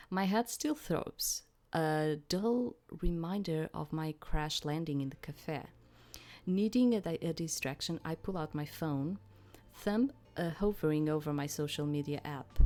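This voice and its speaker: Lower-voiced woman playing and narrating a game. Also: a little mellow. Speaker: let's play host. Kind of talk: reading game narration aloud with casual commentary.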